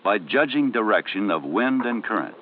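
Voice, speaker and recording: Deep, expressive male narrator on old film. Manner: formal, measured, explanatory. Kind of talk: scripted training film narration. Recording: muffled, noisy 1970 film soundtrack.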